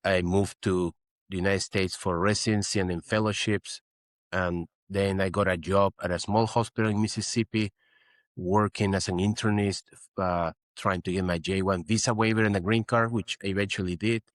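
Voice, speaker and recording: A man's voice: low-pitched, fairly even intonation, moderate pace, dry recording. A middle-aged man from Peru, a guest physician in an interview, explaining earnestly and often saying "you know". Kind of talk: interview conversation.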